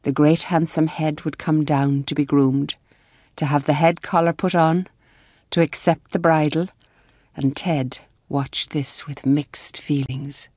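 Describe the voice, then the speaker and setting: A woman playing an observant Mother Superior who narrates a radio drama in a measured, literary manner. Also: low-pitched, steady tone, a little mellow, dry recording, studio quality. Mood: composed, descriptive. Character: composed, observant Mother Superior telling the story.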